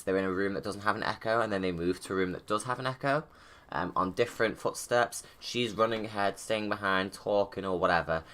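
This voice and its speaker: Bright-voiced man giving rambling casual commentary. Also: sits low. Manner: informal, rambling, self-interrupting. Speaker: game movie creator.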